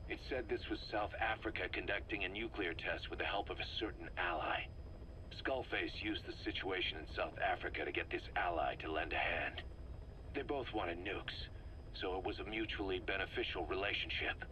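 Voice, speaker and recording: Sharp, deep-voiced intelligence briefer. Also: male voice, moderate pace, rough recording. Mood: dry, knowing. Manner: explanatory, dry, assured. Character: crisp, knowing male intelligence briefer. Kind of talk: video game voice acting, briefing. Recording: faint tape noise.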